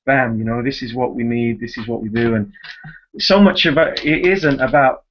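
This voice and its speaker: A man's voice: mid-range voice, moderate pace, slight background noise. Man on a muffled conference call. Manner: emphatic, repeats words and seeks agreement with tag questions. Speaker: host, youth soccer club director. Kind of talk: conversational interview on a conference call.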